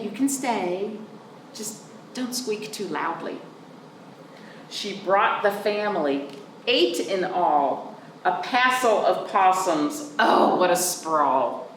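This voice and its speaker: A woman reads a storybook for young children, swinging widely in pitch and stretching words in a sing-song way. Her pace is unhurried. She is recorded a little way from the mic in an echoey room. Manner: pauses to explain words simply to children. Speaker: children's librarian, storytime reader. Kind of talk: expressive read-aloud storytime for young children. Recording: roomy echo, distant mic, faint noise.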